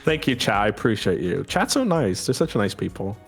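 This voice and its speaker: Low-voiced playful woman streamer. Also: big pitch swings, fairly quick, soft background music. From Europe. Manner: laughs often, teasing and playful. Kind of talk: casual group chat on a livestream.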